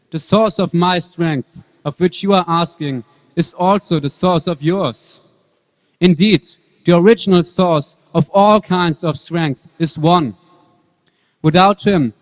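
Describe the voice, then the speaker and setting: A wise, fearless boy prince played by a grown man in a staged scripture drama. He preaches earnestly and calmly in a highish voice, with long hall reverb around him. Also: close to an everyday voice.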